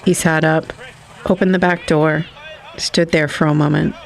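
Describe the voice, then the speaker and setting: A man reading literary scripted narration for an audio story in a measured storytelling manner. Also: fairly high pitch, level tone, creaky.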